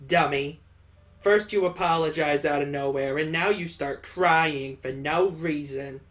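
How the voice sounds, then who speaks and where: A bossy young daughter from a visual novel, as a game streamer puts her on, blunt and teasing. She scolds and orders people around in a mid-pitched voice. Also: a little slow, fairly bright tone, lightly acted. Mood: scornful, scolding. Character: bossy, teasing birthday girl, mocking her father.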